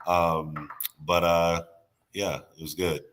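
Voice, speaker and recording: A man's voice: sits low, flat delivery, takes it slowly, background noise. A man with a stuffy nose, guesting on a casual podcast chat, rambling and restarting his sentences. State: stuffy nose, under the weather.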